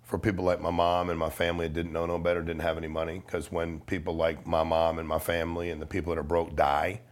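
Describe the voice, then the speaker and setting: Man, middle-aged, deep voice, podcast guest, blunt, slangy, emphatic, persuasive. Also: completely flat delivery, fast. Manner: blunt, emphatic, swears, uses rhetorical questions and slang. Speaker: podcast guest, life insurance salesman. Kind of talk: casual, persuasive interview talk.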